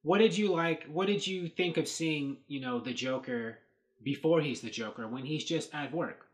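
A man's voice: on the high side, normal speed. Rambling man musing at his webcam. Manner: rambling, thinking aloud with many fillers. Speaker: host. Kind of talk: casual commentary on set photos. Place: small dark room indoors.

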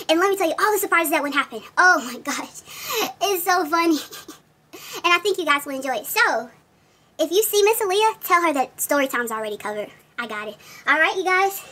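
A woman voicing an excitable, vain young princess in a gushing, girlish, dramatic way for a children's story. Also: high-pitched, voice pushed up. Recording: music behind the voice.

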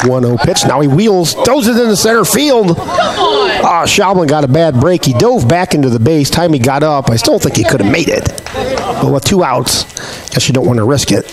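A man calling a local baseball game play by play, his voice scratchy and hoarse, chatting folksily between pitches. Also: very animated, moderate pace, a loud crowd. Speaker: play-by-play announcer.

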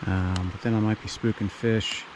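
A man's voice: on the low side, steady tone, a little mellow, low-quality recording. A man narrating casually while hiking and fishing a mountain stream, thinking aloud with fillers and restarts. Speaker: fishing vlogger. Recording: outdoor recording with stream noise. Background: rushing stream water.

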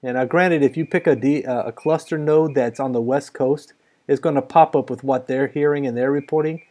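A man's voice: mid-range voice, fairly even intonation, brisk, a little mellow. A middle-aged American man giving a casual, laid-back, slightly rambling walkthrough as a radio hobbyist. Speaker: amateur radio hobbyist demonstrating software.

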